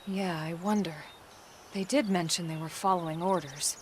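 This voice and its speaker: An actress playing a calm, diplomatic and resolute squad commander in a video game, with composed authority. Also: female voice, on the low side, moderate pace, slight background noise, lightly acted. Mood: thoughtful, decisive. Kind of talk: video game cutscene acting. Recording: loud music behind. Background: loud game soundtrack music.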